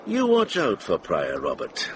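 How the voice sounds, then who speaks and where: A deep-voiced, gruff older monk from a radio drama. He is wry and worldly, with lively turns of pitch. Clear background noise sits behind him. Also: a little slow, heavily acted. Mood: wry, chiding.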